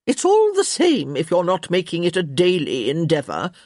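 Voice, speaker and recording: A woman's voice: fairly low pitch, wildly swooping pitch, moderate pace, dry recording, clean studio sound. A woman voicing a blunt, crotchety elderly countess with a grand, emphatic manner in an audiobook story. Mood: brusque, dismissive. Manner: grand, emphatic, sharp-tongued pronouncements.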